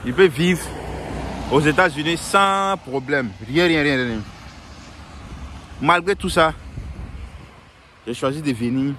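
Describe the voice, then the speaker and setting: A man's voice: fairly high pitch, a bit bright, slight background noise. A young man giving earnest, repetitive advice in a casual monologue, often restarting his sentences.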